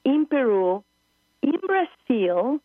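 A woman's voice: high-pitched, big pitch swings, slow pace, no echo. A woman in her forties from Argentina with an Argentine Spanish accent, a native Spanish speaker earnestly searching for English words as a guest in a phone-in interview. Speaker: guest, spiritual travel leader. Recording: tinny phone line.

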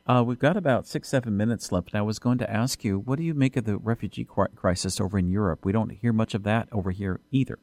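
Low-voiced radio host, dry sound. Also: male voice, quick pace, hardly any pauses, a little mellow, no room sound. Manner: brief agreeing interjections and short questions. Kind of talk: radio interview.

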